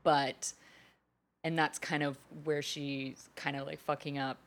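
A woman's voice: low voice, level tone, slow. A woman co-hosting a casual chat show, talkative, swearing now and then and laughing easily.

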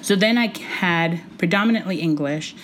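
A woman in her forties, born in Puerto Rico with Spanish as her first language, talks as a guest in a podcast interview. Her voice sits low, and she pauses often with thoughtful ums and soft laughs. A faint hum of room noise sits behind her. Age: 40s.

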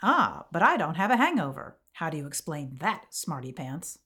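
A woman's voice: sits low, very animated, moderate pace, pushed and bright, full character voice. An actress playing a flighty, theatrical woman with homemade magic ideas, in scripted comic podcast banter, emphatic and argumentative. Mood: smug. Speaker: podcast co-host.

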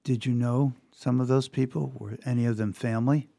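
A man interviewing a guest, asking gentle, careful questions. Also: medium pitch, fairly even intonation, fairly quick, creaky, dry recording.

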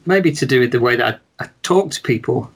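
A man talking as a guest in a casual chat, rather monotone, measured and hesitant with many restarts. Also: mid-range voice. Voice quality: somewhat monotone. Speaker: guest, wedding photographer.

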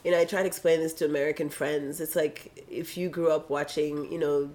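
A woman from Kenya speaks as an interview guest in a low-pitched voice that sounds congested. She is casual and reflective, explaining her points through examples. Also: steady tone, brisk, darkish tone. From Kenya, of Tanzanian family. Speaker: interview guest, film director.

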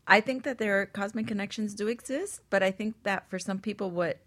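A woman's voice: medium pitch, fairly quick, a bit bright. A woman speaking as a panelist in a casual, conversational radio discussion. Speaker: talk show panelist.

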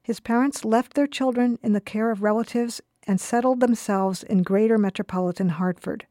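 A woman's voice: fairly high pitch, moderate pace, mellow, no room sound, clean studio sound. A woman presenting scripted documentary narration for radio.

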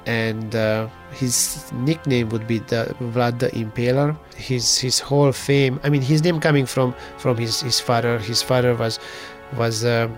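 A man from Transylvania, telling a story in a halting way, with many fillers and restarts. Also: medium pitch, level tone, faint music behind. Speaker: interviewee. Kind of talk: casual conversational storytelling.

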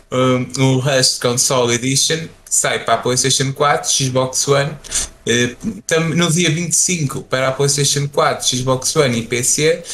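A man with a European Portuguese accent co-hosting a casual gaming podcast, reading out release dates slowly and stumbling over English titles. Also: mid-range voice, a bit bright, a little hiss or hum. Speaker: podcast co-host.

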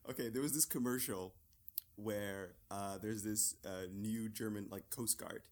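A man's voice: fairly low pitch, unhurried, a little mellow. A Canadian man in a casual podcast chat, joking around playfully. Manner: joking, playful, slips into silly voices.

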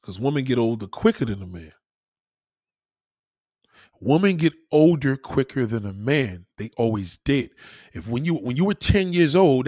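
A man's voice: medium pitch, darkish tone, no echo, studio quality. A man giving a blunt, profane opinion monologue in a casual, lecturing way, repeating points for emphasis. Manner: emphatic, repeats himself for stress.

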